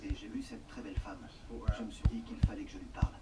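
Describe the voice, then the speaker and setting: A man calmly recounting his own story as a documentary voice-over. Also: fast talker, whispered.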